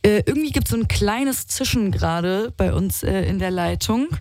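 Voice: medium pitch, expressive, moderate pace, a little mellow, no room sound, studio quality. A radio host, chatting with callers on a live call-in show in an upbeat way, with lots of fillers. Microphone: studio microphone.

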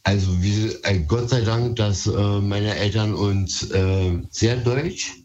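Slow Berlin man on a dull phone call. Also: fairly low pitch, a little mellow. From Berlin, Germany. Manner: hesitant, slightly nervous. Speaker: phone-in caller. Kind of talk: casual chat. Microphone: phone call to a radio show.

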